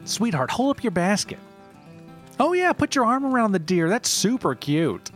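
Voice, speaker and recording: A man's voice: high-pitched, sing-song, moderate pace, faint music behind, pitched above the performer's own voice. A man voicing a cheery, smug young city hipster guide with a patronizing, slangy manner. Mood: playful, condescending. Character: cheery, smug twenty-four-year-old male city hipster mushroom guide.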